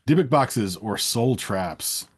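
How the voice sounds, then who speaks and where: Slightly husky, animated man hosting a quiz. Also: mid-range voice, slow. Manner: playful, teasing. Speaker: interviewer, host. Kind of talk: rapid either-or quiz in casual chat.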